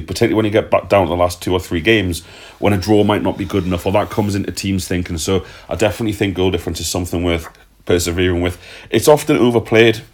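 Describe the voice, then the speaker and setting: A man hosting a casual football discussion in a blunt, sweary, joking way. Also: deep voice. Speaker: podcast host.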